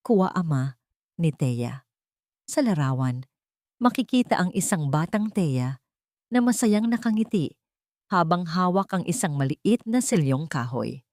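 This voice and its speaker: Low-voiced Filipina woman narrating a drama. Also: a little mellow, no echo, clean studio sound. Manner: measured storytelling delivery.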